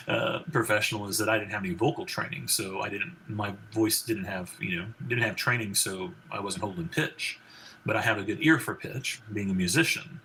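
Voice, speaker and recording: A man in his forties speaks in a low, gravelly baritone with a bright edge. He talks casually and rambles, restarting his sentences and leaning on fillers. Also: steady tone, moderate pace, a little hiss or hum, rough recording. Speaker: guest, physician and country singer. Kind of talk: casual interview conversation.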